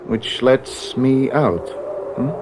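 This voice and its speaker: An actor playing an earnest, plain-spoken young law student in a radio drama, with direct, colloquial speech. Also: male voice, mid-range voice, very slow. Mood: wounded, direct. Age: young adult. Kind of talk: American radio drama acting. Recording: radio drama with clear background noise.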